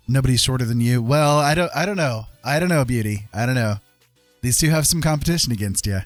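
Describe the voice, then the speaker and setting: A man hosts a laid-back livestream in a rambling, jokey manner. His voice sits at an ordinary pitch. The sound is dry and close, with faint music underneath. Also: no echo. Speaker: livestream host and artist. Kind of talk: casual livestream chat.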